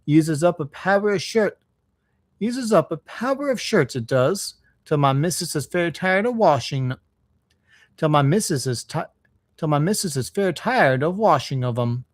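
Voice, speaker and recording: A man's voice: a little high, expressive, measured pace, dry recording, studio quality. A man voicing a burly, kindly working-class engine driver from a storybook, folksy, reflective and good-natured. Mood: good-natured bargaining.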